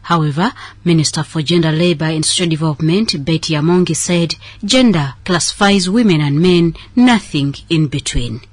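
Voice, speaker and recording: A woman's voice: low voice, moderate pace, dry recording. Ugandan woman reporter, dry close sound. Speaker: radio news reporter. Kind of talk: scripted news report.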